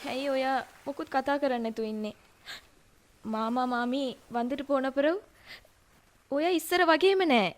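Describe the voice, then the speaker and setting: A woman's voice: high-pitched, a little slow, long pauses. An actress playing an anxious young Sinhala wife who pleads with her husband, switching between Sinhala and Tamil. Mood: hurt. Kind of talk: radio drama acting.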